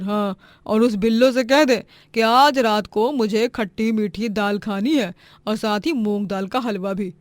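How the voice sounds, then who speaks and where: A woman playing a domineering, greedy, theatrical middle-aged mother-in-law in an animated moral story. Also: mid-range voice, no room sound, lightly acted. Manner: theatrical, scolding, bossy.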